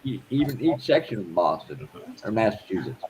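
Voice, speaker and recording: Quick-talking man in casual group chat. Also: lowish voice, heavy background noise. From New Hampshire. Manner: animated storytelling, quick and playful.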